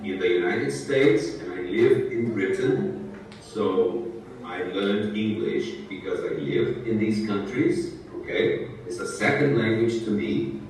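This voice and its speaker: A man in his sixties from Brazil, a native Portuguese speaker, talks to a class in a slow, careful way and keeps checking that everyone follows. His voice sits a little low. The sound is very echoey and picked up from a distance, with a faint hiss. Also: a little mellow. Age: 60s. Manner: speaks slowly and clearly, checking understanding with "okay?". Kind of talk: talking to students in a language class.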